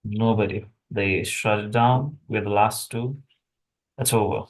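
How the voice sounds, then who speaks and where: A man playing a weary, wry assassin in a screenplay table read, talking in a conversational, halting way. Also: fairly low pitch, fairly even intonation, close to an everyday voice, poor audio. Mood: defensive. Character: weary, chatty male assassin, wry under threat.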